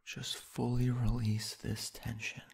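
A man softly narrating a sleep hypnosis meditation in a soothing, hushed, breathy voice with long, unhurried pauses. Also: medium pitch, level tone, noisy background.

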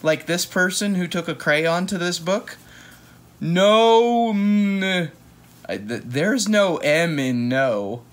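American man, casual children's book host, playful, unhurried, very lively intonation. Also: high voice. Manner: playful, joking asides. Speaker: host reading a picture book aloud. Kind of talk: casual, chatty read-aloud for kids.

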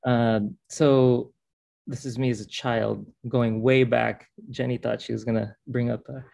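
A man's voice: mid-range voice, flat delivery, unhurried, vocal fry, a little mellow. A man from the Philippines walks through his art practice over a video call. He speaks in a mid-range voice and hesitates often, filling the gaps with ums and uhs. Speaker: artist. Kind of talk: artist talk on a video call.

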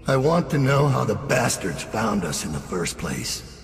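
An actor voicing a grim, guilt-ridden middle-aged master assassin in a video game, brooding, deliberate and menacing, with a gravelly voice. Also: male voice, fairly low pitch, big pitch swings. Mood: gruff, commanding. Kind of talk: video game voice acting, dramatic monologue.

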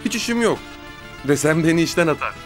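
An actor playing an easygoing, joking office colleague in a TV drama. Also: male voice, on the high side, lively intonation, quick pace, soft background music, lightly acted. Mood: casual. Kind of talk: TV drama acting. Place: office, on set.

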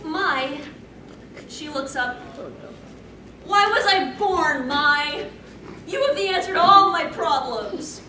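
High-voiced woman, distant in an echoey room. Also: lively intonation, slow, long pauses, bright, forceful tone. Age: young adult. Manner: wry, self-interrupting, theatrical. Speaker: convention panel co-host reading fan fiction. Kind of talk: live comedic dramatic reading with ad-libbed commentary. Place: convention panel room stage. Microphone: far camera. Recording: echoey room from far off, noisy.

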